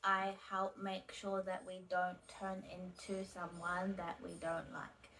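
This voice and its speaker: A woman in her twenties giving plain, measured self-introductions in an interview-style video, often trailing off softly at the ends of phrases. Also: lowish voice, monotone, slight background noise, low-quality recording. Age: 20s. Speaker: video creator.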